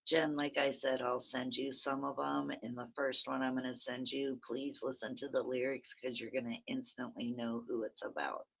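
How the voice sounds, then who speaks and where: A woman with a very raspy voice, hosting a rambling, chatty early-morning livestream from her phone and talking to viewers by name. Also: very deep voice, flat delivery, moderate pace, few pauses, rough recording. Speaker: livestream host.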